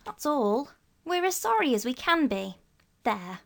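A woman's voice: high voice, big pitch swings, unhurried, vocal fry, pitched above the performer's own voice, full character voice. A woman playing an earnest pleading boy. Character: earnest young boy caught out, brave.

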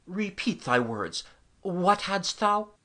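A woman voicing a haughty, gallant medieval knight in a storybook, with husky, pompous, stately archaic speech. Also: on the low side, big pitch swings, slow pace, a bit bright, full character voice. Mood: puzzled, haughty. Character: haughty, gallant medieval knight captain, male, gravely noble. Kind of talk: storybook character dialogue.

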